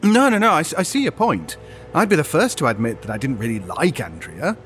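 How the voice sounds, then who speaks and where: A man's voice: a little high, big pitch swings, a little fast, fairly bright tone, soft background music. An actor playing a supportive, concerned male shop colleague in a naturalistic audio drama, helpful and conciliatory.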